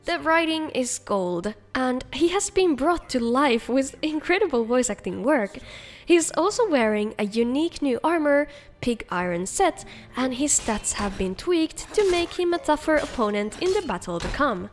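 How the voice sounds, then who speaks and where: A woman with a high voice presents game mods in upbeat scripted narration. Faint music plays behind her voice-over. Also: very animated, moderate pace. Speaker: video host, game mod reviewer.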